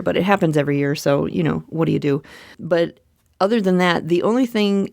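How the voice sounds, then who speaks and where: A woman's voice: fairly low pitch, creaky, a little mellow, dry recording, clean studio sound. A woman with a Minnesota accent hosting her own podcast in an upbeat, chatty way, often restarting her sentences. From central Minnesota. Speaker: podcast host. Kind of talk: solo podcast chat.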